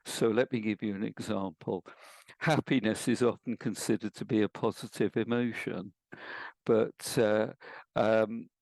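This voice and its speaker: An older man with an English accent, a coach explaining ideas in a measured way on a podcast interview. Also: lowish voice, fairly even intonation, creaky, a little mellow, dry recording, rough recording. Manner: measured, explanatory, builds points with examples. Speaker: guest, emotional intelligence coach.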